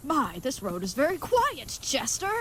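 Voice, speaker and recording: A woman's voice: sits high, wildly swooping pitch, a little slow, background noise, heavily acted. A woman playing an earnest, good-hearted but dim-witted knightly leathersmith in a comedic audio drama, speaking in florid, mock-archaic phrases. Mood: fond, chatty.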